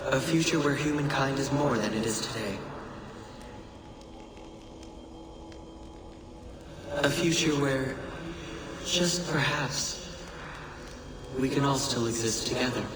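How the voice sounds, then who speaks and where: An actor playing a cryptic, solemn oracle in a video game, speaking in a grave, oratorical way with deliberate phrasing. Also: male voice, medium pitch, a loud crowd, lightly acted. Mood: solemn, visionary. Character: cryptic, solemn male oracle musing on time. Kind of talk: scripted dramatic monologue in video game. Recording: echoey, distant, loud ambient noise.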